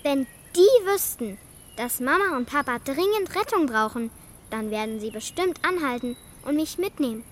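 A little girl in a children's radio play. Her voice is high, with a childlike, matter-of-fact way of doubting and imagining, and faint music sits behind it. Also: expressive, moderate pace. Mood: hopeful.

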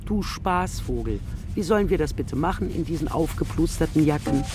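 A woman's voice: low voice, lively intonation, moderate pace. An older woman playing a feisty, wry grandmother in a children's radio play, grumbling in a colloquial way. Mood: affectionate, teasing.

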